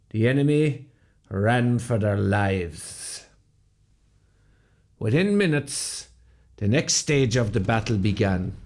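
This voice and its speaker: An actor playing a proud rebel veteran, giving measured, scripted storytelling narration in a radio play. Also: male voice, mid-range voice, darkish tone, close to an everyday voice. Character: proud Irish rebel veteran recounting a battle.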